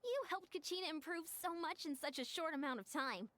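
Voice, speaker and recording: A woman's voice: sits high, brisk, fairly bright tone, dry recording. A woman voicing an upbeat, encouraging young female coach in an anime game. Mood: friendly, encouraging.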